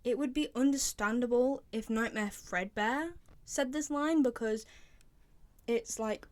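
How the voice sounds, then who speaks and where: Young female video creator, slightly high voice, informal and chatty, sharing opinions as a fan. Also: unhurried. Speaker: video creator reviewing game voice lines. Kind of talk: casual fan commentary.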